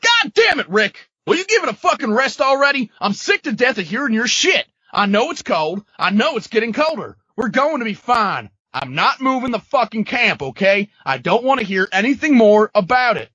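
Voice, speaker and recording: An actor playing a hot-tempered, domineering, fed-up survivor in a comic dub. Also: male voice, extremely high pitch, sing-song, normal speed, few pauses, pushed and bright, no echo, dull audio, exaggerated voice. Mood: furious.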